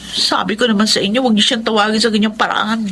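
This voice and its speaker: A woman's voice: mid-range voice, steady tone, fast, fairly bright tone, a little hiss or hum, close to an everyday voice. A woman acting a hurt, defiant college-age daughter in a melodramatic radio drama, pleading and indignant.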